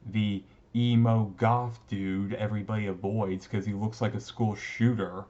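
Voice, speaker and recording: Man playing bashful teen-looking vampire boyfriend, unhurried, lowish voice. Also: little pitch movement, dark tone, dull audio, close to an everyday voice. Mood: surprised, hesitant. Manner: hesitant, bashful, casual, teasing. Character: shy young-looking male vampire, emo goth classmate, sweetly smitten. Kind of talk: romantic roleplay audio drama, talking to listener.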